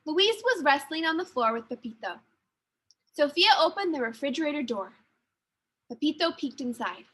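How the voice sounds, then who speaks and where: A woman teacher reading a children's story aloud with expressive, deliberate narration. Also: high-pitched.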